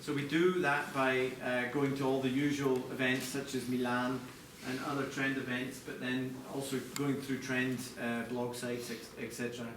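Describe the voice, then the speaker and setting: A man's voice: medium pitch, little pitch movement, moderate pace, few pauses. Echoey, distant man giving a design presentation. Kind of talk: live product presentation to a group. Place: large echoey indoor venue, apparently a hotel. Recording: echoey noisy room, distant mic.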